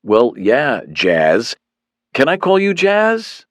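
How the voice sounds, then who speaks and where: A man voicing a calm, wisecracking male hero held captive, speaking in a deadpan, sarcastic way. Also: medium pitch, slow, no echo, clean studio sound, pitched above the performer's own voice, exaggerated voice.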